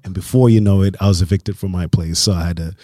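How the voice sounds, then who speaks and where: A man's voice: low voice, steady tone, fast, dark tone, no echo, studio quality. A middle-aged man from Kenya, a DJ guest, telling his story in a laid-back way with frequent fillers. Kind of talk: casual interview.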